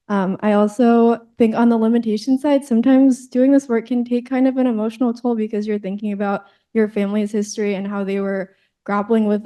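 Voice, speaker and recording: A woman's voice: medium pitch, dark tone. A young woman answering on a scholarly panel, earnest and reflective with frequent fillers.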